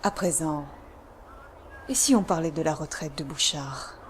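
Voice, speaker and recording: Girl doing a cool tough game heroine, lowish voice, dry threats, bit of background noise. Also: a little slow. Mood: probing, dry. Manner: cool, assertive, dryly threatening. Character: cool, menacing female adventurer investigating a murder. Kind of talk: video game fan dub acting.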